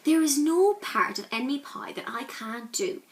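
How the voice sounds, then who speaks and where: A woman voicing a boy's calm, clever dad in a storybook, speaking in a quiet, secretive way. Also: extremely high pitch, normal speed. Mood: secretive, serious. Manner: quiet, conspiratorial.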